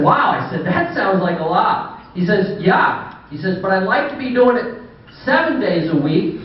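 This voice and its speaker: A man in his sixties, a business owner giving a folksy banquet speech full of dry, humorous anecdotes. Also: sits high. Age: 60s. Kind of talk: anecdotal after-dinner speech. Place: banquet hall. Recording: distant echoey hall, muffled.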